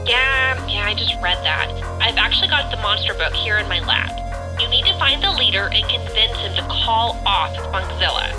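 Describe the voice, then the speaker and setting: Woman acting young female teammate, fairly high pitch, bossy, worried, matter-of-fact, audio drama. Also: animated, moderate pace, over music, lightly acted, poor audio. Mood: urgent, steady.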